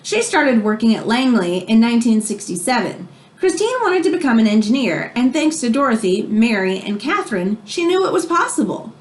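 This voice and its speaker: Higher-voiced woman reading a book aloud. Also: brisk. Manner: expressive, explains words for young listeners. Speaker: read-aloud storyteller. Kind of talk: reading a children's book aloud, with informal asides.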